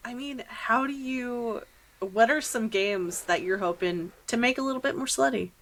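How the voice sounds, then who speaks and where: A woman's voice: on the high side, moderate pace, fairly bright tone, low-quality recording. A millennial woman hosting a podcast, chatting in an informal, encouraging way with her guest. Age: millennial, 30s or so. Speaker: podcast host. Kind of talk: casual podcast interview chat.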